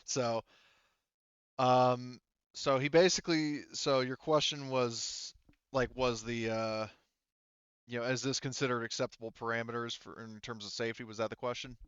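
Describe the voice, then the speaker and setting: A man acting as game master in a tabletop roleplaying session, narrating and explaining rules in a halting, circling way with many hedging asides. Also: medium pitch, flat delivery, a bit bright, smooth, dry recording, muffled recording.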